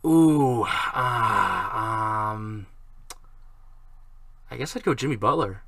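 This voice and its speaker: A man's voice: on the low side, lively intonation, drawn-out words, gravelly, sharp tone. A young man co-hosting a sports talk show in a casual, easygoing, hesitant way. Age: young adult. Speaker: sports podcast co-host, student. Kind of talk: casual chat.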